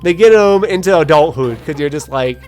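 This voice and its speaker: A gaming video host giving casual gameplay commentary, telling personal stories in a rambling, halting way with quoted asides. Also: medium pitch, expressive, darkish tone. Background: game music.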